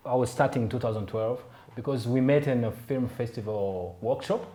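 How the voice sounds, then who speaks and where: A man's voice: mid-range voice, normal speed, dark tone. A man hosting a podcast interview in a casual, chatty way, often laughing and restarting his sentences. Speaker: podcast host. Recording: echoey room.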